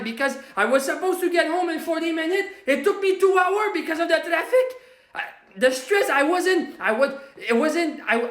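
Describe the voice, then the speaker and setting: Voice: high voice, roomy sound. A performer playing a hot-headed working-class man from Quebec who is angry all day, ranting in broken English mixed with French, with a strong Québécois French accent, on a comedy livestream. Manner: loud ranting, self-styled Quebec Hulk. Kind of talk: ranting comedy livestream, answering viewer comments.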